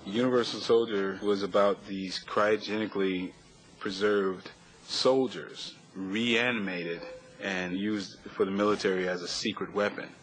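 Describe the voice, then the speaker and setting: A man's voice: lowish voice, fairly even intonation, unhurried, low-quality recording. A man giving interview commentary, recapping a film.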